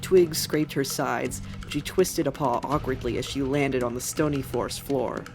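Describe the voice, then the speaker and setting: A woman's voice: rumbling low, normal speed. A woman reading scripted fiction as a dramatic narrator. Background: music.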